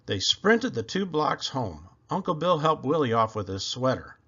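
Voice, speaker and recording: Very animated man reading a storybook. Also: medium pitch, normal speed, slightly rough, dull audio. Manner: expressive, emphatic. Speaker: children's book reader. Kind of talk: animated storybook read-aloud narration.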